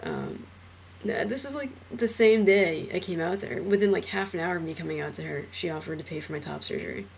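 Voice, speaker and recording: A young transgender man in a casual personal vlog, talking hesitantly with many fillers and restarts, his trained low voice deepened further by a cold. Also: very animated, fairly quick, vocal fry, few pauses, a little hiss or hum. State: voice lowered by illness.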